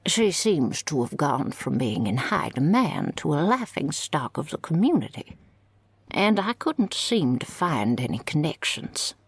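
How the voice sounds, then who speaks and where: A woman playing a kindly older female librarian in an audio roleplay, with a husky, creaky voice and gently formal, folksy manner. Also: deep voice, very animated, measured pace, no room sound, exaggerated voice. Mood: helpful, gentle. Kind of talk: scripted audio roleplay acting.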